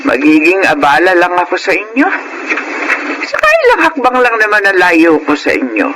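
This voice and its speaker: An actress playing a gentle, sentimental elderly grandmother who is quietly stubborn, in a radio drama. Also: on the low side, big pitch swings, normal speed, background noise. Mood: nostalgic, firm.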